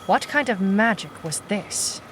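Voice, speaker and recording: An actress playing a terse, suspicious female private eye with no memory, deadpan in a noir audio drama. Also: medium pitch, a little slow, a little hiss or hum. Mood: grim deadpan. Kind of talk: noir detective audio drama, narration and dialogue. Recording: music playing behind the voice. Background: music.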